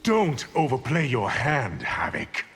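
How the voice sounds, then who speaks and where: Cold, scheming sorcerer villain for a fighting game; menacing, animated, music underneath. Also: male voice, mid-range voice, slow pace, long reverb tail, full character voice. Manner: haughty, theatrical, menacing. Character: arrogant, scheming evil male sorcerer, cold and contemptuous. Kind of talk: video game villain dialogue.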